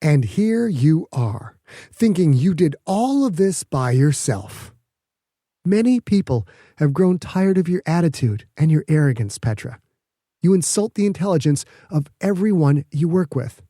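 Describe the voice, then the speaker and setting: Man as a condescending woman governor, mellow-toned. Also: a little high, sing-song, no room sound, clean studio sound, voice pushed up. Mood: scolding. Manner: condescending, pointed, measured. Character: smug, calculating woman governor, a seasoned politician.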